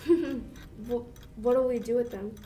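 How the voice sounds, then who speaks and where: A young woman plays a hesitant radio-drama accomplice. Her voice is fairly high yet mellow and soft. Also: extremely slow. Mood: uncertain. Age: young adult. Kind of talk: 1940s radio play acting.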